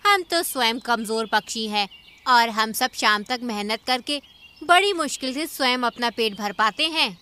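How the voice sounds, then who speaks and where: A woman's voice: a little high, expressive, a little slow, bright, forceful tone. A woman voicing a sensible, cautious bird auntie in a cartoon, reasoning matter-of-factly. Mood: measured.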